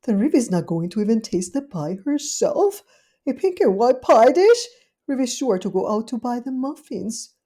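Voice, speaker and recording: A woman's voice: on the high side, big pitch swings, moderate pace, warm tone, pitched above the performer's own voice. Woman doing a fretful little lady dog. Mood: scheming. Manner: anxious, fussy, talks to herself. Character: fretful, scheming genteel little female dog. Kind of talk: storybook character voice.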